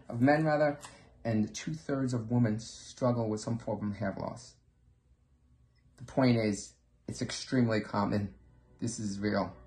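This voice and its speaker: Emphatic male presenter in an echoey room. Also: medium pitch. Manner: earnest, emphatic, repetitive, with rhetorical questions. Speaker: presenter training hair-loss clinic professionals. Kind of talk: informal instructional sales presentation. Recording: echoey, roomy sound.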